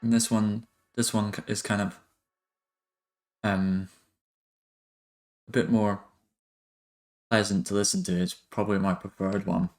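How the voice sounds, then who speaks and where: Low-pitched, monotone man giving a tutorial. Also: a little slow, long pauses, faint music behind. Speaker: music production tutorial host. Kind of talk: casual software tutorial narration.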